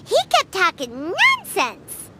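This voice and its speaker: A woman voicing a tiny, childlike, excitable fairy companion in a fantasy video game, speaking in squeaky exclamations. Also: slow, heavy background noise, heavily acted. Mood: excited. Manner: squeaky, exclaiming, refers to self in third person. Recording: music playing behind the voice.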